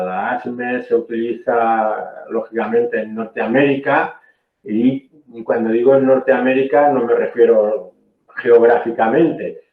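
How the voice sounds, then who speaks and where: Man lecturer, from spain, explanatory, checks in often, echoey room, phone-like sound. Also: medium pitch. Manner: explanatory, frequent "¿eh?" checks, self-corrections. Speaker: technical trainer. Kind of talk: online training lecture.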